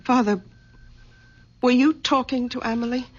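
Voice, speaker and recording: An actress playing a careful, soothing middle-aged daughter in a vintage radio drama. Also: female voice, fairly high pitch, moderate pace, close to an everyday voice. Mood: anxious. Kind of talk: 1940s radio acting. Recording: lo-fi old radio broadcast.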